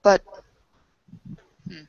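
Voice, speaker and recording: A woman's voice: drawn-out words, darkish tone, no room sound, dull audio. A woman improvising tabletop roleplay conversation in a hesitant, questioning manner.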